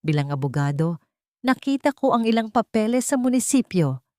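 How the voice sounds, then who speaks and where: A woman's voice: fairly high pitch, very animated, moderate pace, dark tone, no room sound, pitched above the performer's own voice. An earnest young lawyer in an audio drama, played by a woman narrator. He speaks with urgency and firm resolve, a protective friend. The sound is dry and close. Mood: urgent, serious.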